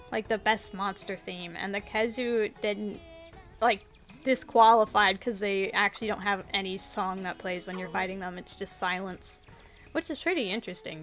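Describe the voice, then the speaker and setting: A woman with a gravelly voice, chatting casually and a little self-deprecatingly while she plays a video game. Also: medium pitch, fairly bright tone. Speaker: gaming streamer. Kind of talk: casual commentary while playing. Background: game music.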